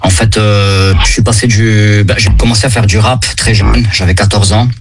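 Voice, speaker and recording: A man's voice: fairly low pitch, steady tone, normal speed, music in the background. A man with an Italian accent, a native Italian speaker, answering interview questions from his studio and searching for words. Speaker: guest musician. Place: home studio.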